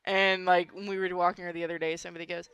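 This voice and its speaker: A woman's voice: on the low side, flat delivery, rapid-fire, fairly bright tone, lo-fi sound. A woman streamer chatting casually with friends, teasing and blunt, with plenty of swearing. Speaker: streamer on a group live stream. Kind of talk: casual chat.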